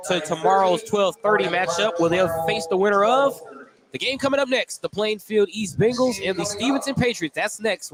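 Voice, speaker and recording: High-pitched man calling live basketball. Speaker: play-by-play basketball announcer. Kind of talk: live radio sports commentary. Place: courtside in a gymnasium.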